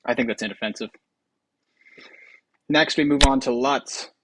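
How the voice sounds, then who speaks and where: A man's voice: medium pitch, a little slow, noisy background. A man hosting a gaming video with casual commentary and tongue-in-cheek, mock-grandiose announcements.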